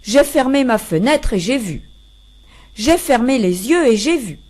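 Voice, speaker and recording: Woman, poetry recitation, slightly high pitch, very unhurried, expressive, deliberate pauses. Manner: deliberate, measured phrasing with pauses between verses. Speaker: poetry reader.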